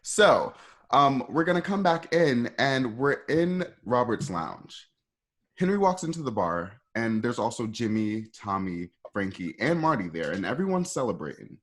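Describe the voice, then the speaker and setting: A man's voice: mid-range voice, sing-song, a little slow. A man casually narrating stage directions for a script read, in a conversational style.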